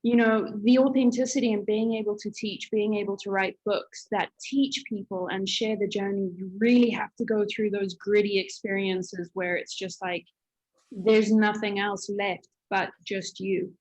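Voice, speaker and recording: A woman's voice: mid-range voice, fairly even intonation, normal speed, darkish tone, rough recording. A woman co-hosting an interview, talking in an affirming, enthusiastic way over a video call. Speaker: co-host. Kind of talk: conversational interview.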